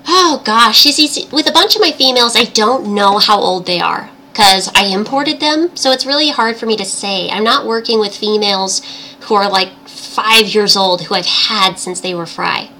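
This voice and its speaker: A woman's voice: mid-range voice, moderate pace, sharp tone, low-quality recording. A woman with a tiny, girlish, cartoon-princess voice hosts a casual livestream chat in a cheerful, giggly, chatty way. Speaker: livestream host. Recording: livestream audio with background noise.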